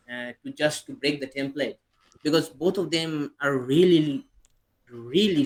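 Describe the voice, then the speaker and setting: A man's voice: mid-range voice, rough recording. A man co-hosting a podcast, chatting casually and eagerly about fantasy football, often restarting his sentences. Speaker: podcast co-host.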